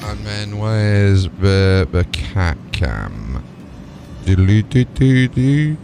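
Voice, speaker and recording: A man's voice: fairly low pitch, animated, extremely slow, creaky. A man livestreaming casually, chatting and talking himself through tasks aloud. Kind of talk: casual stream chat and commentary. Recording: music playing behind the voice. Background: music.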